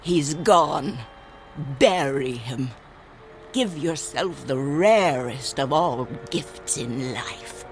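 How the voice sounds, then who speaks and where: A solemn old woman who tells fortunes in a radio drama. She speaks very slowly and deliberately, with a wide-swinging pitch and cryptic wisdom. Music plays clearly under her voice. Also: expressive, heavily acted. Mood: solemn, wise. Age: older.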